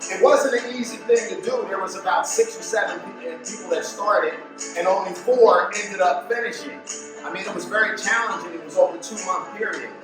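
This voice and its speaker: A man giving an informal live introduction to a puppet show as the artist running it, speaking in a halting, appreciative way. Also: sits high. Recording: echoey room, distant mic, music behind.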